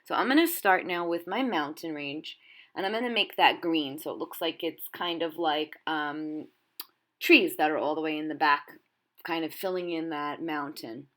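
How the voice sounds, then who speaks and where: A woman with a fairly low voice speaks as an elementary art teacher. She explains a drawing technique step by step, addressing children directly and encouragingly. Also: fairly bright tone. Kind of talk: instructional demonstration for children.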